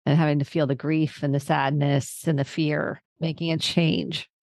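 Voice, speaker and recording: A woman's voice: low voice, level tone, moderate pace, a little mellow, no room sound, clean studio sound. A woman hosting a conversational interview podcast, affirming her guest and repeating phrases for emphasis. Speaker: podcast host, therapist.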